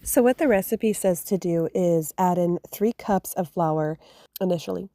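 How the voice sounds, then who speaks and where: A woman's voice: fairly low pitch, moderate pace, warm tone, dry recording. Chatty homesteading woman with a cold. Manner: chatty and rambling, with many fillers and restarts. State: getting over a cold, voice a little off. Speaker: homestead vlogger. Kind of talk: casual cooking vlog narration. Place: home kitchen.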